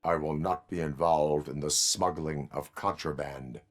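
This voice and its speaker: An actor playing a stoic cyborg police officer in a video game, speaking in a deadpan, formal, clipped way. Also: male voice, extremely low pitch, measured pace.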